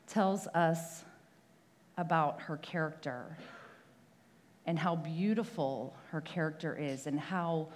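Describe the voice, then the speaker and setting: A young woman preaching a church sermon in a conversational way, often asking "right?", with a prayer and a scripture reading. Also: sits low. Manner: conversational, frequent tag "right?", some restarts.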